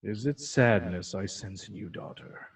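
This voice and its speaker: A man playing a calm, menacing alien tyrant in a table read, with a deep, gravelly voice and a slow, fatherly condescension. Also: soft background music. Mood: calm menace. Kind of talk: amateur table read of a film script.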